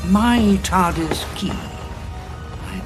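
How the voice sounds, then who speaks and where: Grim veteran, calm and cutting, raised pitch, faint music. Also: male voice, full character voice. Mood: cold. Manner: cold, measured and self-assured. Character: grim, weary male war veteran time traveller. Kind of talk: audio drama acting.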